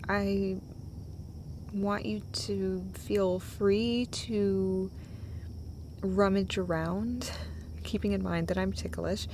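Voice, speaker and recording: A woman plays an emotional, loving girlfriend in an intimate audio roleplay. She talks slowly and haltingly in breathy phrases at a medium pitch, tender and a little self-deprecating, with faint noise behind her. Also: steady tone, a little mellow. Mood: tender, earnest. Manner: halting, breathy phrases broken by small laughs.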